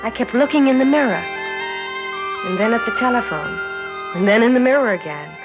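A woman's voice: fairly high pitch, expressive, fairly quick, gravelly, over loud music. An actress with an American accent playing a tough but self-doubting young woman athlete in a 1960s radio drama, plain-spoken, slangy and emotional. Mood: wry, confiding. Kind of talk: 1960s radio drama acting with first-person narration. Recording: muffled 1960s radio recording.

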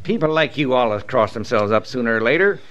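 An actor playing a tough, stubborn small-town sheriff in a radio western, with gruff, folksy frontier speech. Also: male voice, mid-range voice, animated, quick pace, a bit bright, close to an everyday voice. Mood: stern, dismissive. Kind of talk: old-time radio western acting. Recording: dull vintage radio broadcast.

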